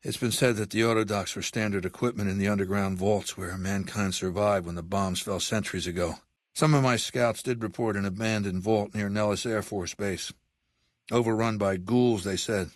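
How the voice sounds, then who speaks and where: Guy doing an older tyrant warlord for a video game, lowish voice, bossy n threatening, cold, super dry recording. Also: steady tone, dry recording, close to an everyday voice. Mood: cold, commanding. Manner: measured, commanding, threatening. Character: aging, imperious, ailing warlord dictator, cold and menacing. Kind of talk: video game character dialogue.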